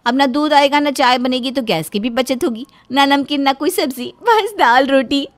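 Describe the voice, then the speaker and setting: A woman's voice: high-pitched, moderate pace, natural voice. A woman voicing a petulant, boastful young housewife in a cartoon story, whiny, dramatic and insistent. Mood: stubborn.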